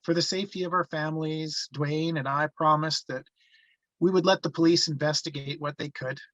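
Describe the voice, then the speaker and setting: A man reading a haunted, reflective first-person narrator looking back on his youth, halting in places, on slightly muffled audio. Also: on the high side, fairly even intonation, fairly bright tone, no room sound, close to an everyday voice. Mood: reflective, regretful. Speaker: actor reading the narrator at a table read.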